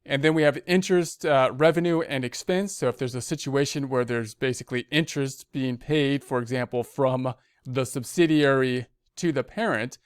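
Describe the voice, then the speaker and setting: A man's voice: mid-range voice, clear, resonant tone, no echo, clean studio sound. A man giving an explanatory, loosely scripted lecture as an instructor, repeating and rephrasing as he goes step by step. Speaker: accounting instructor.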